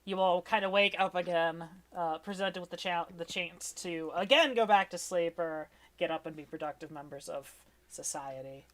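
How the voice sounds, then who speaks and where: A woman with a fairly low voice narrates a tabletop roleplaying game as its game master. She improvises in an informal, wry way, talking casually to her players. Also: moderate pace.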